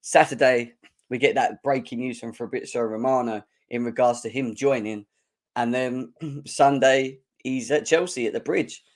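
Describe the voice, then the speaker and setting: A young man, his voice hoarse and croaky, hosting a live football fan show and chatting energetically while clearing his throat. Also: medium pitch, fairly even intonation, normal speed. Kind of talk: live-stream chat.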